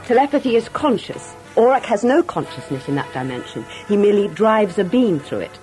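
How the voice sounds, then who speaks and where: Woman reading as an earnest telepathic alien, mellow voice. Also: medium pitch, big pitch swings, brisk, faint music behind, lightly acted. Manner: earnest explaining, read from script. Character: telepathic female alien crew member, earnest and calm.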